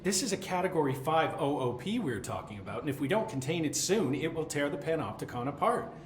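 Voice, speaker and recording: Fussy middle-aged bureaucrat, hesitant, chatty, swooping intonation, roomy echo, video game character. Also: male voice, on the high side, very animated, fairly quick, faint music behind. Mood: nervous, apologetic. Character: nervous, chatty, deferential facility supervisor, eager bureaucrat. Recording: roomy echo, faint background noise.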